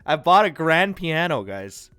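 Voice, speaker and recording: A congested, nasal-sounding man from the United States hosting a livestream, chatting casually and rambling through viewers' comments with a relaxed, self-deprecating manner. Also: on the high side, unhurried, clean tone, dry recording, faint music behind. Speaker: livestream host. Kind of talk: casual chat reading and answering viewer chat.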